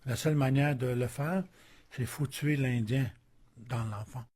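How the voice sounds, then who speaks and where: Man in his 60s, mellow husky voice, steady plain testimony. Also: mid-range voice, a little slow. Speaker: residential school survivor.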